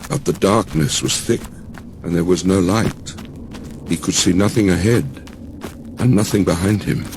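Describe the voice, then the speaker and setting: A man narrating a dramatized audiobook as a solemn storyteller, with deliberate phrasing. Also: low voice, darkish tone, a little hiss or hum. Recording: music behind the voice.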